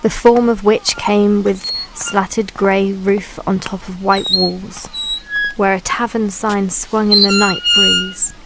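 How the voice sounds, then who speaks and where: A woman's voice: medium pitch, huge pitch swings, slow, gravelly, exaggerated voice. A woman voicing the eternal Sea as the solemn, poetic narrator of a radio play, over a noisy background. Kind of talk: scripted dramatic narration. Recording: noisy background with ambient sound effects.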